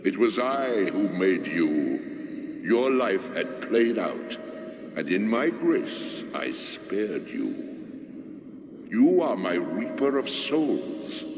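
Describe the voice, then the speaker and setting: A man's voice: sits low, heavily acted. An actor playing a vast, ancient, pitiless male god in a video game, sermonizing in a pompous, solemn manner. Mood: commanding. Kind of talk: dramatic video game acting. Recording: echoing cavernous reverb, clearly noisy ambience.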